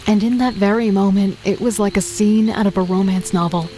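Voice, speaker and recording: A woman narrating an audio drama in the first person, steady, weary and understated. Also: mid-range voice, fairly quick, a little hiss or hum, poor audio. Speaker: narrator and lead. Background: music.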